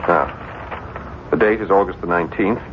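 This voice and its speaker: An actor playing a desperate, stubborn, haunted writer in a radio drama, speaking urgently and sometimes haltingly. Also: male voice, low voice, close to an everyday voice. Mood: agitated, insistent. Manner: urgent, agitated, halting when distressed. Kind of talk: 1970s radio drama acting. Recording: muffled, noisy 1970s radio recording.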